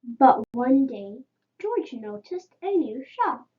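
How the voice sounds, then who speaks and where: A woman's voice: sits high, big pitch swings, slow, phone-quality sound. A woman reading a children's story aloud as a storyteller.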